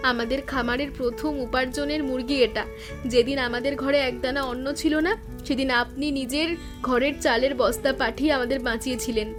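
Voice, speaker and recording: Woman as dutiful young village bride, earnest, kind, slightly high voice, cartoon, clear music bed. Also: steady tone, a little fast, lightly acted. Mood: grateful. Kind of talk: cartoon voice acting.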